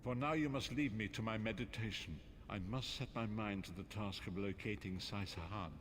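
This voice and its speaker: An actor playing a solemn, cryptic elderly prophet in a fantasy video game, speaking in a measured, formal way. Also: male voice, on the low side, a bit bright, close to an everyday voice. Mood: solemn, mystical. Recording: long cavernous reverb with background noise.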